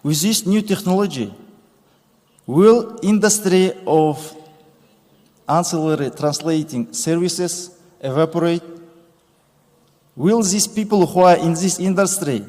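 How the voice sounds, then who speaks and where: A man, giving a formal conference speech with slow, deliberate phrasing and pauses. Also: fairly high pitch, dark tone. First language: Kazakh. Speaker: conference speaker. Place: large conference hall stage. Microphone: podium microphone. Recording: reverberant conference hall.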